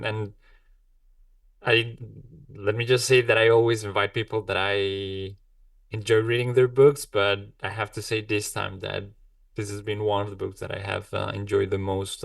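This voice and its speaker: A man hosting an interview podcast, talking warmly and repeating words as he searches for phrasing. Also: fairly low pitch, gravelly, dry recording, recorded from a distance. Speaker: podcast host.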